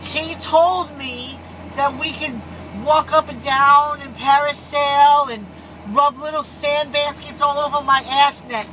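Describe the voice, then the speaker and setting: Man putting on a high whiny oddball prankster. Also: fairly even intonation, takes it slowly, pushed and bright, exaggerated voice. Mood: plaintive, silly. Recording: noisy CB radio in a vehicle.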